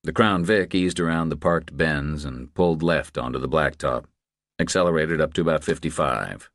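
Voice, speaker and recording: A man's voice: a bass voice, normal speed, fairly bright tone, no room sound, studio quality. A man reading scripted thriller narration as an audiobook narrator.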